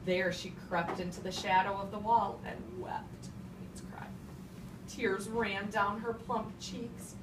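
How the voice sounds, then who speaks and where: A woman teacher reads a storybook to a class and puts questions to the children. She sounds far from the mic in a roomy, clearly noisy space, and often echoes back what pupils say. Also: medium pitch. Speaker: teacher reading aloud to young children. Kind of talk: interactive storybook read-aloud with class questions.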